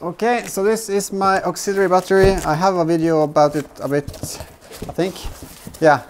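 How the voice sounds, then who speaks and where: A man talks casually to camera in a garage. He is unscripted and halting, often doubling back on his words as he explains a DIY setup. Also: high voice, steady tone, slight background noise. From Norway. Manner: halting, hesitant, repeats words. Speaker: vlogger, DIY car channel host. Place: home garage workshop, indoors.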